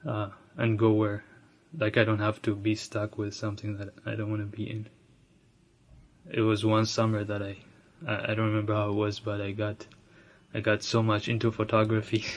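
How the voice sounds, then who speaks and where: A young man from Ethiopia telling his own story, soft-spoken and reflective, with frequent restarts and light laughs. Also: on the low side, slight background noise. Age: young adult. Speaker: interviewee sharing his immigrant story. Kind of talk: personal storytelling.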